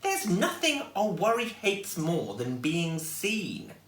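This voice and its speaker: A man's voice: high voice, huge pitch swings, slow pace, fairly bright tone, pitched above the performer's own voice, exaggerated voice. A man voicing a kindly but firm old lady neighbour in a storybook, emphatic and reassuring. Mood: firm reassurance. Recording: echoey room, distant microphone.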